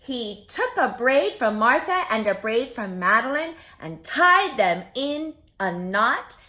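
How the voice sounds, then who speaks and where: A woman reading a picture book aloud for preschoolers, expressive and speaking directly to her young listeners. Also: on the high side, slow pace. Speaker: children's story reader.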